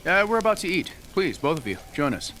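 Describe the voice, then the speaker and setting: A man's voice: fairly high pitch, big pitch swings, brisk, heavy background noise. An actor playing a courteous, slightly flustered male camp host, hesitant and polite, in a radio drama. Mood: polite, welcoming. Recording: loud music under the voice.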